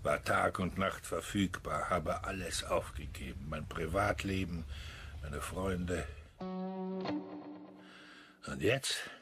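An actor playing an older, bitter pensioned security official in a radio crime drama, aggrieved, coarse and blunt. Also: male voice, low voice, takes it slowly, long pauses, over music. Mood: bitter, cursing.

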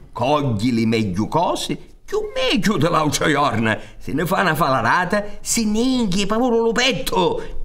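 A man's voice: mid-range voice, a bit bright. A man in his sixties with a Sicilian accent, telling a folk tale theatrically and expressively, with repetitions for emphasis. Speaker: storyteller narrator. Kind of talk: theatrical oral storytelling of folk tales. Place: theatre stage set up for radio recording. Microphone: large studio condenser on stand.

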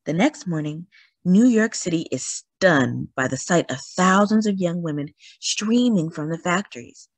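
A woman reading a picture book aloud in a dramatic, emphatic storytelling manner with deliberate pauses. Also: on the low side, expressive, no echo. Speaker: children's read-aloud story host. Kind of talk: expressive picture book narration.

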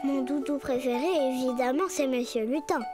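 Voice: high-pitched, fairly even intonation, moderate pace, music in the background, lightly acted. A performer voicing a sweet, earnest little brown bear cub boy in a preschool cartoon, with childlike speech. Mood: contented.

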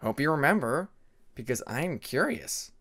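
A man's voice: medium pitch, sing-song, unhurried, a bit bright, exaggerated voice. A man voicing a friendly, curious young male dragon classmate with a casual, easygoing way of talking.

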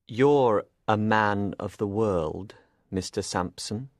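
Man playing a slow, sly gentleman villain. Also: on the low side, no echo, clean studio sound. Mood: smooth, confiding. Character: smooth-talking, polite, sly gentleman villain. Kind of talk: audiobook character voice.

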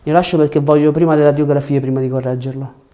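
Mellow young man giving chiropractic instructions. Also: a little high, steady tone, fast. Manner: reassuring, instructive, short directions. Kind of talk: hands-on treatment talk, explaining to patient and viewers. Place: small treatment room, indoors. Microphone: lapel mic.

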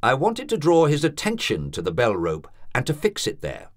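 A man reading aloud and voicing a solemn older country doctor who speaks in a formal, measured way. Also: mid-range voice, wildly swooping pitch, voice pushed up. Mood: earnest. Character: grave, deliberate older male country doctor.

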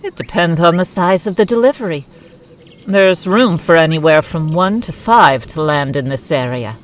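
A woman's voice: on the low side, animated, moderate pace, a little hiss or hum, natural voice. A woman playing a sardonic, no-nonsense warrior in a sci-fi audio drama. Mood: focused. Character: hard-edged sardonic female warrior leading a rescue. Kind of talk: fan audio drama acting.

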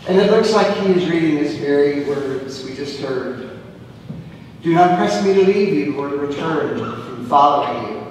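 Older pastor preaching in an echoey sanctuary. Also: male voice, fairly high pitch, expressive, normal speed, slight background noise. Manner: storytelling, gesturing, dropping to a hush on key phrases. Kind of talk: sermon. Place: church sanctuary, at the pulpit. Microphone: far camera, room mic. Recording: echoey church hall from far off.

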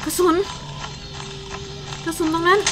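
A young woman giving casual, excitable gameplay commentary, full of exclamations and muttered reactions as she plays. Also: measured pace, music in the background, low-quality recording. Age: young adult. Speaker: gaming show host.

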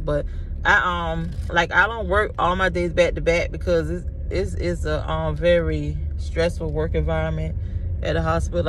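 A woman, chatting and ranting animatedly to a phone camera while sitting in her parked car. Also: deep voice. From South Carolina. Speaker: vlogger. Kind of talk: casual chat to camera. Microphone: phone on dashboard. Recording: loud car and outdoor noise.

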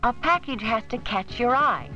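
Female narrator, measured and instructive; dated lo-fi film soundtrack, music bed. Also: on the high side, animated. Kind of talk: scripted educational narration. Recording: dull 1970s 16mm film soundtrack with music.

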